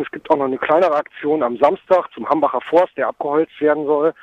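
Halting, higher-pitched man on a dull phone line. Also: dry recording. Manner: hesitant, halting, frequent ums. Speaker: climate camp activist being interviewed. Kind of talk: spontaneous phone interview. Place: at a climate camp, on a mobile phone. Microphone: mobile phone.

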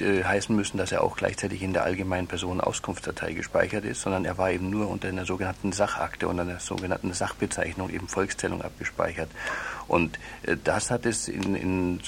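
A man's voice: sits low, vocal fry, a little hiss or hum. A man speaking as a lawyer in a radio interview, explaining at length in formal legal wording and often reworking his phrases as he goes.

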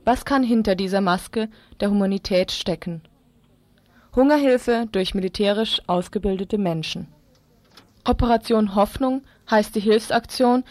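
A woman's voice: mid-range voice, a little mellow, dry recording. A woman presenting a radio feature, reading formal scripted narration.

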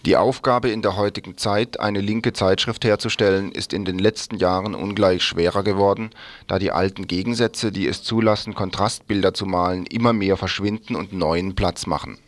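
Low-voiced man reading scripted radio text. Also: fairly even intonation, moderate pace, no echo. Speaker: radio newsreader. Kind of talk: scripted reading of texts and news.

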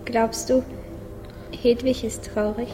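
Woman doing a sad dreamy little boy in a radio play, slow, big echo, music behind. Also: a little high, level tone, dark tone. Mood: curious. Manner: simple childlike musing, repeating phrases. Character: naive, pensive, sad little boy, thinking aloud. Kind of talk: radio play inner monologue. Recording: long reverb, music clearly behind.

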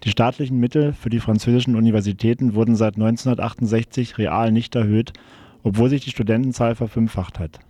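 A man's voice: medium pitch, steady tone, fast, dark tone, dry recording. A man reading scripted radio news as a newsreader.

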